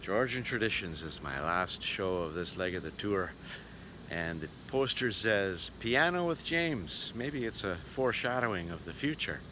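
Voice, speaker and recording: A man's voice: low-pitched, very animated, unhurried. A man narrating a casual vlog as a touring musician, with a folksy, wry way of talking. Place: outdoors and in a vehicle. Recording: noisy outdoor and vehicle ambience.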